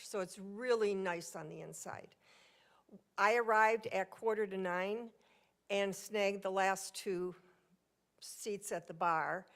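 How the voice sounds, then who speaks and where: A woman speaking at a podium microphone in council chambers, telling a personal story during public comment in a loud, chatty voice. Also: mid-range voice. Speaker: resident speaking at public hearing.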